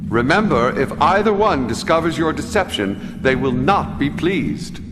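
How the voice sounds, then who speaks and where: A shrewd game advisor with a fairly high male voice that swings widely in pitch. He delivers briefings with measured, portentous menace, in a reverberant space. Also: sing-song, music in the background. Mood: grave, instructive. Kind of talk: video game briefing cutscene. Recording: noisy, long hall reverb.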